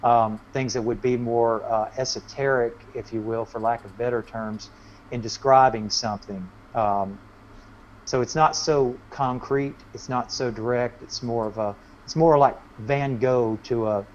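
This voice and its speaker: A man's voice: medium pitch, steady tone, measured pace, darkish tone, muffled recording, a little hiss or hum. A man, a singer-songwriter guest, chatting informally about songwriting and searching for his words. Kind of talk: casual chat about songwriting. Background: acoustic guitar.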